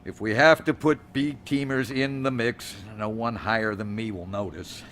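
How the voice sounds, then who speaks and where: A man playing a weary, formal director of a secret base in a science fiction audio drama, with a measured, resigned manner. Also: medium pitch, steady tone, fairly bright tone, slight background noise, close to an everyday voice.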